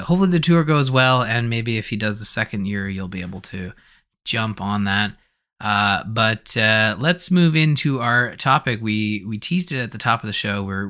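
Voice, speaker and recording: A man's voice: lowish voice, sharp tone. A man hosting a podcast in a casual, conversational way, thinking aloud as he goes. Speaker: podcast host.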